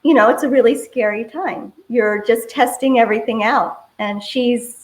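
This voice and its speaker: A woman's voice: fairly high pitch, normal speed. Woman, film director guest, roomy echoing sound, conversational, loosely phrased interview answers.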